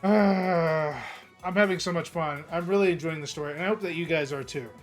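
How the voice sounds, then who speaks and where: Hoarse man narrating a game stream. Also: a little high, a little fast, faint music behind. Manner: casual, chatty, reading aloud with small slips. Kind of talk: narrating a visual novel live.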